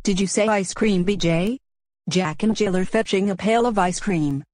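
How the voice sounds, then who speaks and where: A woman's voice: mid-range voice, moderate pace, a little mellow, no echo, studio quality, close to an everyday voice. A woman voicing a chirpy, helpful talking pail in a children's cartoon. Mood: playful.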